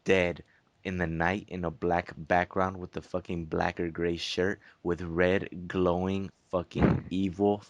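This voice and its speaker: A man's voice: low-pitched, level tone, measured pace, no room sound, lo-fi sound. A young man chatting casually in emphatic, repetitive slang with plenty of swearing. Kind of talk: casual chat.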